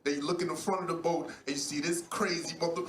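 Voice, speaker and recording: A man performing a stand-up comedy set, telling an animated story and acting out voices on a club stage. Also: on the high side, quick pace. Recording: echoey club with heavy noise.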